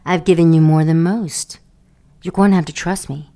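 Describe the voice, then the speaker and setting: Brief: actress as a secretive seductress, teasing and lowish. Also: female voice, fairly even intonation, normal speed, warm tone, lightly acted. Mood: flirtatious. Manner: teasing, flirtatious. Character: seductive scheming woman, secretive. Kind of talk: audio drama acting.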